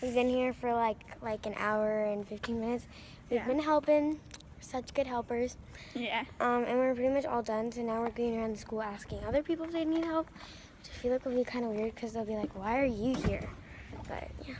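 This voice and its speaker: A woman's voice: on the high side, a little fast, darkish tone, a little hiss or hum. A teenage girl chatting casually in a vlog, full of "like" and "um" fillers, filmed on a handheld phone. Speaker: vlogger. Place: home and outdoors, garden trampoline.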